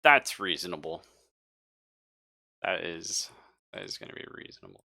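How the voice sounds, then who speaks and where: Man, game master, low pitch, forward bright tone, casual improvised narration, hesitant thinking aloud. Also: wildly swooping pitch, moderate pace, vocal fry. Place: live online stream.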